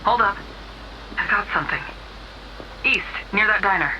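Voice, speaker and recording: A man's voice: high voice, moderate pace, bright, forceful tone, muffled recording, a little hiss or hum. A man playing a tense field soldier in a horror video, reporting in a clipped, urgent way. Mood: alert. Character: tense male field soldier tracking monsters. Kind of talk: analog horror radio acting.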